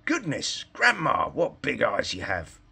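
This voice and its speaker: A man's voice: medium pitch, wildly swooping pitch, unhurried, creaky, pushed and bright, slightly rough, full character voice. A man voicing an earnest, self-assured little girl in a storybook, lecturing in formal, indignant phrases. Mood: sweetly earnest.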